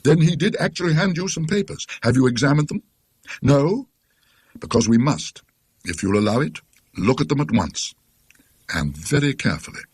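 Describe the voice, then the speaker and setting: Man acting earnest, animated English gentleman, husky. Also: mid-range voice, normal speed, long pauses, no room sound, pitched above the performer's own voice. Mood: urgent. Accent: British. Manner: dry, donnish, emphatic. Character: earnest, suspicious bereaved English gentleman recounting events.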